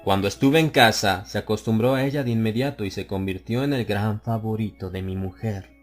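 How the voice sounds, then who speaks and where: A man reading a horror story aloud as an audiobook narrator, in a slow, brooding, confessional delivery that swells into anguished outcries. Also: lowish voice, soft background music.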